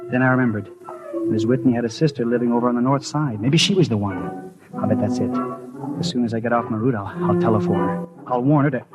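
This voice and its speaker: A man's voice: mid-range voice, dull audio, close to an everyday voice. An actor playing an anxious, imaginative postman who narrates his suspicions and stammers with others, in 1950s radio drama style. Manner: hesitant and stammering when speaking to others. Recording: 1950s radio broadcast with music.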